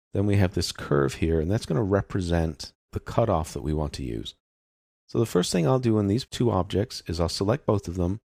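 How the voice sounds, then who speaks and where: A man with a very low, creaky voice walks through a software tutorial. He explains each step methodically in informal, unscripted language. The sound is close and dry. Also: no echo.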